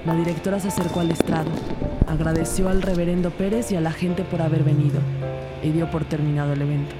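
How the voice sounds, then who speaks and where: Woman as female detective, lowish voice, scripted crime story narration, music behind her. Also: little pitch movement, fast, natural voice. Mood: narrating. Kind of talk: scripted first-person narration, audio drama. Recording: clear background music under voice.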